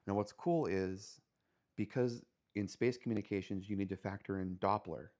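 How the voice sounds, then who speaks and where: A man's voice: fairly low pitch, fairly even intonation, moderate pace, darkish tone, dull audio. A man giving a casual, step-by-step explanatory tour as an amateur radio operator, speaking in a plain, matter-of-fact way.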